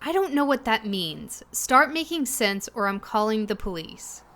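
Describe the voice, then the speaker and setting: Casting: defiant young female passenger, fairly high voice, wary and confrontational, audio drama. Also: sing-song, unhurried, a bit bright, exaggerated voice. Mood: confused, demanding. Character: weary, distrustful, defiant young woman passenger.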